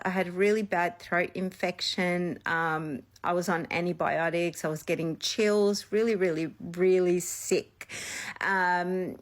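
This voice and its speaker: Slow-talking woman with a slight sniffle. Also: lowish voice. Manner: chatty, rambling, personal. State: slight sniffle after illness. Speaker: psychic medium and channel host. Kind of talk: casual vlog storytelling.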